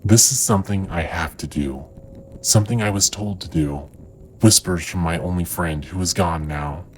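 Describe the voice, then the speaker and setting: A man playing a tormented, deranged, haunted man in a halting, ominous audio drama monologue. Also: deep voice, slight background noise, lightly acted, low-quality recording. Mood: despairing, ominous.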